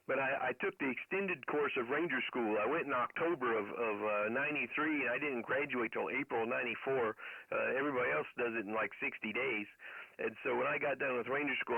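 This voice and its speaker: A middle-aged man chats as an amateur radio operator, rambling through anecdotes with military jargon. His voice has a crackling fry at the edges. The sound is very narrow, like a telephone, and dry with no room around it. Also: medium pitch, no echo. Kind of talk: casual storytelling over ham radio.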